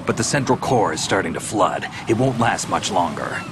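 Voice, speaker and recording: An actor playing a cool, weary seasoned soldier in a video game, speaking in a terse, dry, laid-back way. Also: male voice, deep voice, animated, moderate pace, noisy background. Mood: matter-of-fact. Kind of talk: dramatic video game voice acting.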